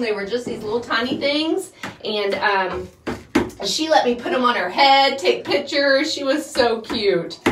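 Middle-aged female homestead vlogger, folksy and rambling, off-mic in a small wooden space with noticeable noise. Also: fairly high pitch. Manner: folksy, chatty, rambling while working. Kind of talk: casual chat to viewers during chores. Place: small wooden chicken coop. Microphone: phone set up a few feet away. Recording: roomy, distant mic with clear background noise.

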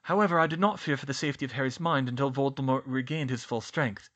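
A man voicing a calm, wise, old male headmaster wizard, speaking in a measured, formal way. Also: mid-range voice, lo-fi sound, close to an everyday voice.

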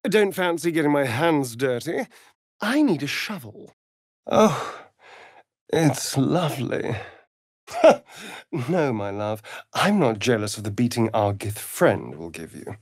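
An actor playing an arch, flirtatious, sardonic vampire rogue in a video game, with a theatrical drawl. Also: male voice, mid-range voice, very animated, slow, long pauses, no echo, studio quality, full character voice. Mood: wry, arch. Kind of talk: video game character dialogue.

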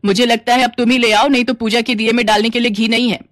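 A woman's voice: a little high, flat delivery, fast, a little hiss or hum, voice pushed up, lightly acted. Woman acting a bossy middle-aged mother-in-law. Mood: impatient. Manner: stern, instructing.

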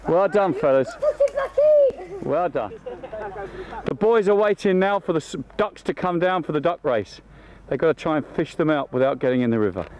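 A man's voice: on the high side, lively intonation, normal speed, pauses often, a little mellow. A man giving live home-video commentary and calling out instructions as a leader running games, recorded outdoors on a camcorder. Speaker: scout leader filming and running camp games. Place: outdoors at a riverbank and campsite. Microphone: behind a handheld camcorder. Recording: amateur camcorder audio outdoors.

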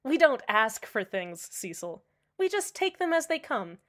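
A woman's voice: on the high side, sing-song, measured pace, fairly bright tone. A woman playing a smiling, menacingly cheerful male radio host in a radio drama, sweetly condescending. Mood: sweetly upbeat.

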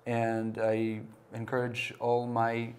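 A young man answering interview questions in a small TV studio, hesitant with restarts and pauses. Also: medium pitch, monotone. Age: young adult. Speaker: interview guest.

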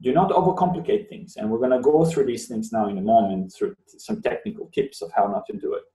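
A Bosnian-native man in his thirties walks students through a webinar lesson, repeating key words to drive them home. His voice is mellow and low in brightness. He sits a little off the mic, and the room around him rings. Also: big pitch swings, fairly quick. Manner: explanatory, repeats words for emphasis. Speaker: university lecturer. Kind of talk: webinar lecture. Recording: roomy sound, mic at a distance.

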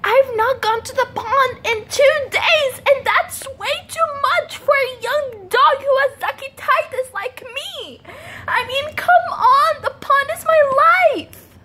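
A woman's voice: very high-pitched, takes it slowly, sharp tone, pitched above the performer's own voice, exaggerated voice. A girl voicing Charlie, a whiny young male dog in a homemade courtroom story, who pleads and whines.

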